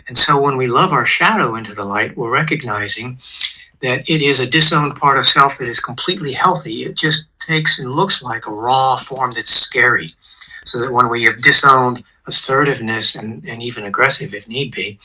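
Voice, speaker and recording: Bright, husky-voiced man explaining calmly. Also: mid-range voice, rough recording. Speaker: guest therapist. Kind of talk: explaining psychology on an online show.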